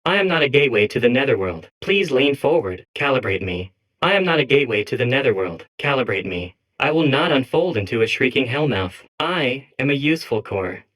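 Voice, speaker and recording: Insistent, stilted sinister machine, medium-pitched. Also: male voice, very animated, a little fast, a bit bright, low-quality recording. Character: suspicious demonic machine core insisting it is harmless. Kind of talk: comedic video game character acting.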